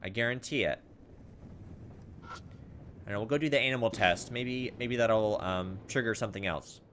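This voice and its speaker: A man's voice: fairly low pitch, normal speed, a bit bright, a little hiss or hum. A man giving casual gameplay commentary as a let's play host, thinking aloud in a hesitant, musing way.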